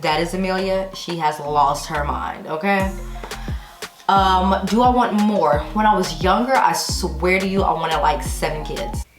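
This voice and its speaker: American woman, thirties, casual chatty vlog delivery, lowish pitch, echoey room, clear background music. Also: unhurried. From Texas, United States. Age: 30s. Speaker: YouTube vlogger. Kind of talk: casual chatty question-and-answer to camera. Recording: roomy echo with music behind.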